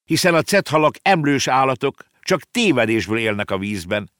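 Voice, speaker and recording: A man voicing a feisty, indignant little girl in a children's storybook, loud and quick to get upset. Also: mid-range voice, wildly swooping pitch, no echo, clean studio sound, pitched above the performer's own voice. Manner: indignant, loud, tearful outbursts.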